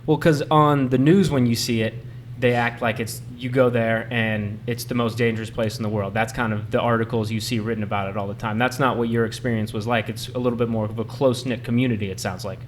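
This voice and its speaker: A man hosting a podcast, asking relaxed, chatty questions in a restaurant. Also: mid-range voice, fast, hardly any pauses, a little hiss or hum. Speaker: podcast host. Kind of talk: casual interview.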